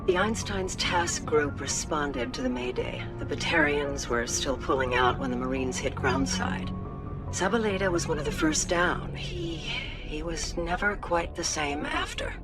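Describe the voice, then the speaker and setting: An actress playing a brisk, dutiful middle-aged female naval officer who is also a caring mother, in a video game. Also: on the low side, sing-song. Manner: brisk, dutiful, quietly concerned. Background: game music.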